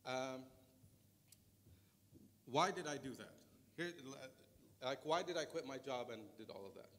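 A man's voice: mid-range voice, lively intonation, a little slow. A man in his thirties with a Lebanese accent gives an informal presentation as a conference speaker in a large lecture auditorium. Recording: reverberant lecture hall, background noise.

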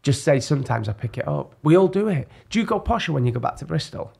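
A man's voice: a little high, animated, fast, gravelly, darkish tone, husky. A man in his thirties with a northern English accent, co-hosting a podcast in a small studio and telling jokey stories in casual banter. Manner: chatty, jokey storytelling with restarts. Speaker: podcast co-host. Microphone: close studio mic on boom arm, headphones.

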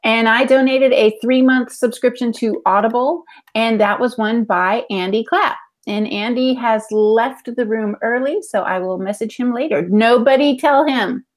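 Higher-voiced middle-aged woman co-hosting. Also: unhurried, hardly any pauses. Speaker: podcast co-host. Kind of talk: casual live podcast chat. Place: indoor room at home, on a video call. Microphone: earphone headset on a video call.

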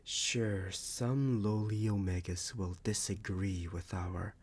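A man voicing a possessive, smug werewolf alpha in an ASMR roleplay, husky and breathy, often whispering, with teasing deliberate pauses. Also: low-pitched, darkish tone, exaggerated voice. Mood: smug, doting.

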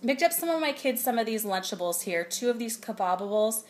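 A woman's voice: on the high side, lively intonation, brisk. Chatty woman vlogging a grocery haul. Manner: chatty, reads prices aloud with fillers. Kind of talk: casual grocery haul chat. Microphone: phone camera held close.

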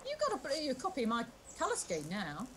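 A woman sailing along in a game session, excitable and quick to exclaim. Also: sits high, noisy background. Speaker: club sailor playing along. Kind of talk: casual chat while gaming.

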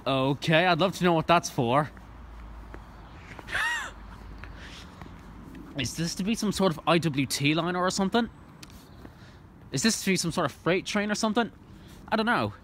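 A man's voice: high voice, huge pitch swings, measured pace, pauses often, a bit bright, slight background noise. A young man from Ireland filming at an outdoor train station platform on a phone, narrating casually and eagerly with lots of ums and restarts. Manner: rambling, full of ums and restarts, enthusiastic. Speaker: trainspotting vlogger. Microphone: phone camera held by the filmer.

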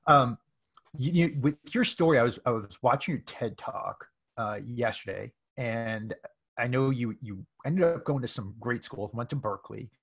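Man, middle-aged, podcast host, relaxed friendly chat, video call from home office. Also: mid-range voice, normal speed, slightly rough. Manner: friendly, relaxed, often tags "right?". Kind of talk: casual conversational interview.

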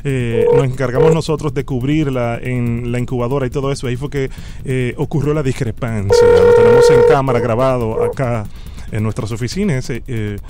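A man's voice: mid-range voice, fairly quick, heavy background noise. A man, stammering apologetically as he runs a prank phone call from a close studio mic. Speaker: prank-call host posing as a hospital doctor. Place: small indoor home studio. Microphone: close studio microphone on arm with headphones.

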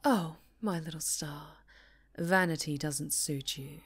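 A possessive winged supervillainess , acted by a woman, from an ASMR audio drama. She speaks slowly and on the low side, in silky, breathy threats full of obsessive love. The sound is roomy and set back from the mic. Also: recorded from a distance. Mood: mock-innocent. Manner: slow, silky threats with breathy half-whispers. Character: menacing, lovesick winged supervillainess, possessive and theatrical. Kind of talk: dramatic ASMR roleplay acting.

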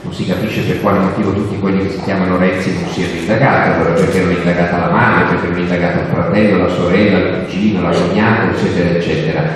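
An older man with a somewhat low voice talks with biting irony to an audience, piling up mocking remarks toward each punchline. He speaks into a handheld microphone on a stage. The sound comes from far off in a hall with a long, booming reverb. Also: level tone, fast talker, few pauses, slight background noise. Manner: ironic, mocking, builds up to punchlines; seated on stage, gesturing with a handheld mic. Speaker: journalist speaking at a book fair panel. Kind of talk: sarcastic public talk to an audience. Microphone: handheld microphone, recorded from the audience.

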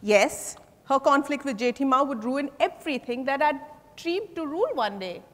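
A woman as a high-voiced, guarded young female suspect. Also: expressive, normal speed, fairly bright tone. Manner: guarded, defensive. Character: ambitious, defensive female suspect under questioning. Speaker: stage actor. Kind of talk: dramatized play reading on stage. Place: large hotel ballroom stage. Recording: echoey hall from far off.

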